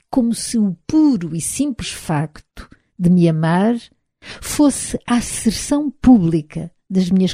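A woman with a European Portuguese accent reading a literary novel aloud in the first person, measured and reflective. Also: lowish voice, dark tone, no room sound, clean studio sound. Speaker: audiobook narrator. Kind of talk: literary audiobook narration.

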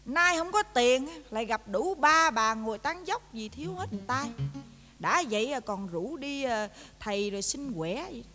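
An exasperated, sharp-tongued wife with a southern Vietnamese accent in a domestic comedy drama. Her woman's voice sits high and moves in lively swings as she nags with sarcasm. Music plays underneath. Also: normal speed. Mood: griping, gossipy. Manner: sarcastic, nagging, folksy exclamations. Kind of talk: comedic stage-style domestic drama acting.

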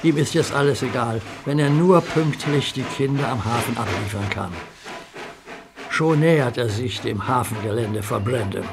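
A man narrating a children's audio story in a calm storybook reading. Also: medium pitch, moderate pace, creaky. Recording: hissy audio play recording.

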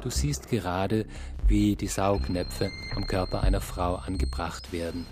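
A man with a fairly deep voice narrates a radio play. His storytelling is measured and descriptive, addressed straight to the listener. Music runs clearly behind him. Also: steady tone.

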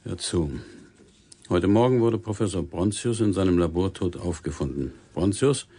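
A man playing a sober, patient newspaper editor in a radio drama, speaking in a measured, matter-of-fact way. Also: low voice, warm tone, husky. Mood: wry.

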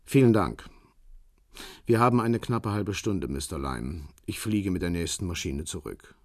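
Russian fixer, middle-aged ex-soldier, very deep, husky, curt, guarded, businesslike, radio drama. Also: male voice, lively intonation, measured pace, dry recording, clean studio sound, heavily acted.

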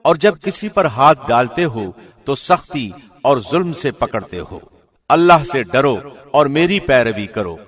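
Male narrator, middle register, formal scripted scripture reading with measured, solemn announcer delivery. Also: mid-range voice, lively intonation, fairly bright tone. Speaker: translation narrator.

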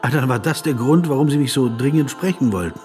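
An actor playing a reflective, sceptical yet compassionate questioner in a radio drama, speaking in a measured, insistent way and slipping into inner narration. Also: male voice, medium pitch, animated, a little mellow, faint music behind, lightly acted. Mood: surprised. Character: reflective male interviewer of a famous man, probing, sceptical, compassionate.

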